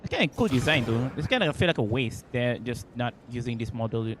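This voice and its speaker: A man's voice: medium pitch, animated, brisk, background noise. A man livestreaming casual gaming commentary, informal, enthusiastic and rambling.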